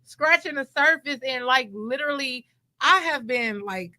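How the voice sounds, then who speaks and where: A woman in her thirties hosts a casual podcast conversation. Her voice is bright and her talk is animated and self-deprecating, often breaking into laughter. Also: high voice, normal speed. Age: 30s. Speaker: podcast host.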